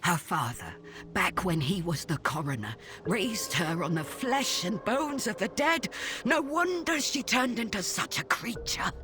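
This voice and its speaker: A woman's voice: sits low, a bit bright, exaggerated voice. An actress playing a protective, devout village mother figure in a video game, fussing and scolding. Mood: gossiping, grim. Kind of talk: video game cutscene acting.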